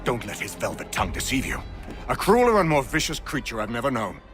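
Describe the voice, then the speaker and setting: A man's voice: mid-range voice, wildly swooping pitch, quick pace, music in the background. An actor playing a bitter, sardonic man in a video game, with a dry, theatrical, articulate delivery. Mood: grave, knowing. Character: sardonic, bitter male captive turned informant.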